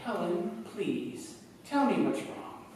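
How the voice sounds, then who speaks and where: A man's voice: high voice, very animated, slow pace, darkish tone, full character voice. A man playing a polite, hesitant small-town mailman and father in a staged 1940s-style radio play. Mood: concerned. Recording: echoey hall, distant mic.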